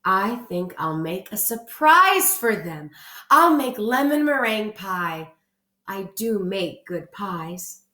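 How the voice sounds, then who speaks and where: A woman's voice: mid-range voice, big pitch swings, slow, bright, forceful tone, reverberant, full character voice. A woman voicing a cheerful, literal-minded housekeeper from a children's storybook, chatting folksily and musing aloud. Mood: pleased.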